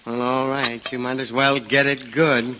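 An actor playing a lazy, meek, henpecked husband with a sly good nature, in 1940s radio style. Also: male voice, mid-range voice, level tone, a little slow, close to an everyday voice. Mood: sly, coaxing. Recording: muffled, noisy vintage radio recording.

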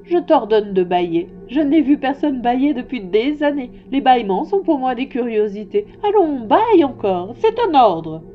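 A woman's voice: high voice, very animated, moderate pace, hardly any pauses, narrow, tinny audio, voice pushed up. A pompous monarch from a children's tale, put on by a woman reader. He is grand and commanding, very pleased to rule, yet kindly at heart. Music plays behind him. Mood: imperious, curious. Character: pompous old-fashioned male king, absolute but kindly monarch.

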